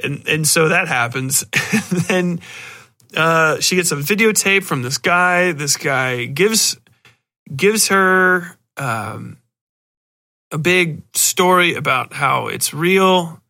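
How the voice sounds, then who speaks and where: A man's voice: on the high side, animated, takes it slowly, creaky, bright, forceful tone. A man hosting a podcast, giving a casual, rambling review in a sardonic, exasperated way. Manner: sardonic, exasperated, mockingly acts out lines. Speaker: podcast host.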